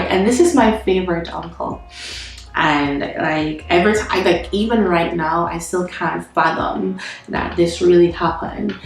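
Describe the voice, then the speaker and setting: Nasal Jamaican woman, low voice, echoey room. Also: distant mic. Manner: candid, storytelling, many fillers and sighs. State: nasal from a cold. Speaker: vlogger. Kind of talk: casual life-update vlog. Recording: very echoey room, away from the mic, soft music behind.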